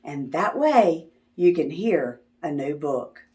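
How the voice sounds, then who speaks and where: A woman's voice: deep voice, expressive, slow, mellow. A woman reading a picture book aloud to young children in a sing-song, teacherly way, as the host of children's videos. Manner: teacherly, asking questions and affirming answers.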